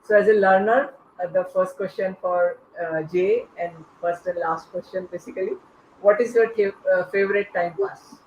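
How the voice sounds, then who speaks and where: A host moderating an online panel discussion without a script, in halting, stop-start phrases. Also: medium pitch, fairly even intonation. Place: online video call. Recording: muffled, echoey online call with noise.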